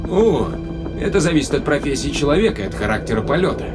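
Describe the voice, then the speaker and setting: A man's voice: fairly high pitch, very animated, fast talker. An actor playing a guarded, rational male spaceship captain in an audio play, questioning and explaining. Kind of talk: dramatic audio play acting. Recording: voice under loud music.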